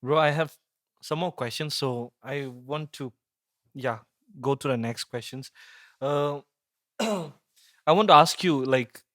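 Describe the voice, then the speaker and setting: A man's voice: mid-range voice, slow, long pauses, fairly bright tone, clean studio sound. A man hosting a casual conversational interview, attentive and echoing the points his guest makes. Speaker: podcast host.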